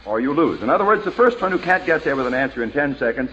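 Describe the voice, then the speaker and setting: A man's voice: medium pitch, sing-song, quick pace, fairly bright tone, muffled recording, slight background noise. A man hosting a 1940s radio quiz show, jovial and teasing with contestants, on an old broadcast recording.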